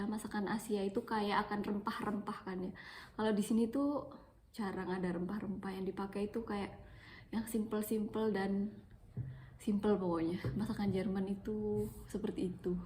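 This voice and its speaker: A woman's voice: mid-range voice, level tone, moderate pace, mellow, a little hiss or hum. Chatty young East Javanese woman vlogging. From Malang, East Java, Indonesia. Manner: chatty, repeats words for emphasis. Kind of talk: casual personal vlog. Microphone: phone.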